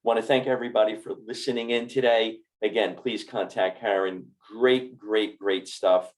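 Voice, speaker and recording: A man who is a doctor hosts a health podcast in a fairly low voice. He talks with warm enthusiasm, stumbling over repeated words as he wraps up the conversation. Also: steady tone, moderate pace. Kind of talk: casual interview talk.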